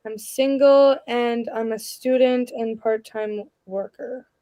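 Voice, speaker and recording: Slow-talking young woman from Canada. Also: fairly high pitch, fairly even intonation, dark tone, no echo. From Ontario, Canada. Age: 20s. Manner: brief, playful interjections. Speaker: panel guest.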